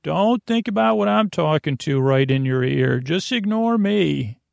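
A man's voice: on the high side, expressive, a little slow, vocal fry, no room sound, exaggerated voice. A man voicing a passive-aggressive old nana, fussing and guilt-tripping in a self-effacing way. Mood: martyred.

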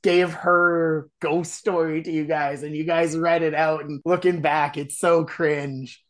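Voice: mid-range voice, level tone, unhurried, fairly bright tone. A podcast host with a Canadian accent, chatting in an easygoing, self-deprecating way. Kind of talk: casual chat.

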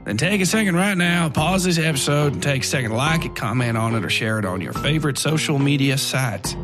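A man hosting a podcast, making an informal promotional appeal in a gruff, offhand, mock-reluctant way. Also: fairly high pitch, lively intonation, brisk. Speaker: podcast author and host. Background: music.

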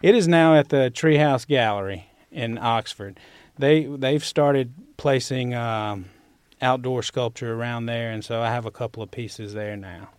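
A man's voice: mid-range voice, unhurried, clear, resonant tone, no echo. A man with a Southern US accent, a sculptor talking conversationally as an interview guest. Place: university building studio.

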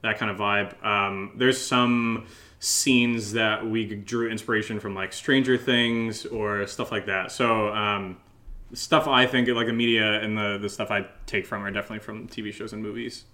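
A man co-hosting a casual, unscripted podcast Q&A, talking in a rambling, halting way and often starting his sentences over. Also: fairly low pitch, fairly even intonation, sharp tone. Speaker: podcast co-host, audio drama writer.

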